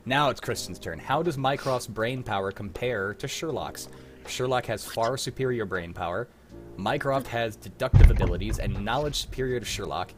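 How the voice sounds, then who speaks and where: A man's voice: medium pitch, moderate pace, music in the background. A young adult man hosting a casual trivia quiz and chatting with a group in an online livestream. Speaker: quiz host. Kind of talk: casual chat and trivia hosting over music.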